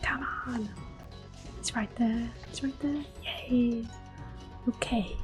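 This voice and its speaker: A woman giving gentle, unhurried ASMR game commentary in a breathy, whispery voice. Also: fairly high pitch, fairly even intonation. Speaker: gameplay host. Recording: music behind the voice. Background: soft game music.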